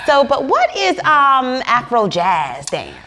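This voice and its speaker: A woman's voice: sits high, huge pitch swings, takes it slowly. A woman hosting a casual interview, bubbly and enthusiastic, laughing often as she reacts. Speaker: interview host.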